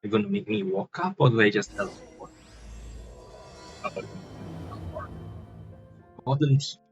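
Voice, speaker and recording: A man's voice: medium pitch, animated, a little slow. A man giving casual let's-play commentary as a livestreamer. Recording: dull muffled mic over game music. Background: game music.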